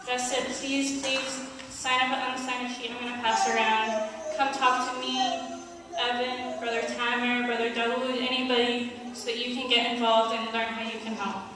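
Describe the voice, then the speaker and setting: Female activist speaker, emphatic but flat in intonation, distant mic, heavy hall reverb, prepared remarks. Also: a little high, moderate pace, a crowd in the background. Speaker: activist committee member giving closing remarks. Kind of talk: prepared community speech, appeal to get involved. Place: large indoor hall at an Islamic center. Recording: echoey hall, distant mic, faint noise.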